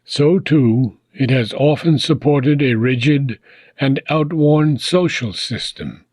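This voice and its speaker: A man reading a philosophical book aloud in a measured, solemn, deliberate manner, pausing often between phrases. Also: mid-range voice, darkish tone, no room sound. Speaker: audiobook reader.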